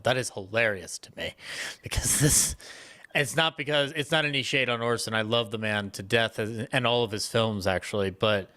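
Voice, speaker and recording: A man's voice: fairly low pitch, pushed and bright. A man speaking as a panelist in a casual discussion, effusive and colloquial in his phrasing.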